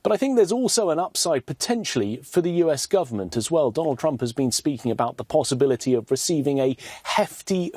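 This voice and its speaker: A man reporting live as a news correspondent, explaining in articulate, measured phrases. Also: fairly high pitch, darkish tone, no room sound, clean studio sound.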